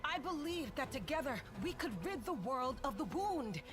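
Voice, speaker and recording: Woman playing a high-voiced, defiant betrayed heroine. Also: sing-song, moderate pace. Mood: betrayed defiance. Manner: dramatic, resolute, accusing. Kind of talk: fantasy game voice acting. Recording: music behind the voice.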